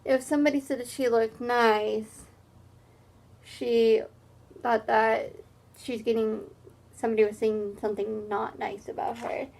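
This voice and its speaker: A woman reading a picture book aloud as a teacher, pausing for halting, simple explanatory asides. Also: a little high, flat delivery, creaky, a little mellow.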